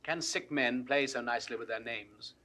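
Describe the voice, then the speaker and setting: A man's voice: medium pitch, moderate pace, bright, forceful tone, lightly acted. An actor playing a petulant, callous king, declaiming imperiously in 1960s Shakespearean audio drama style. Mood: cool scorn.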